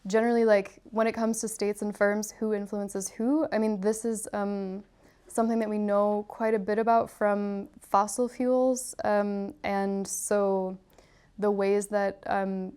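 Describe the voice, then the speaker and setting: Woman, smooth voice, measured, explaining answers after a lecture. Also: mid-range voice, flat delivery, darkish tone. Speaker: speaker answering questions. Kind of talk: answering questions after a talk. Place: lecture room.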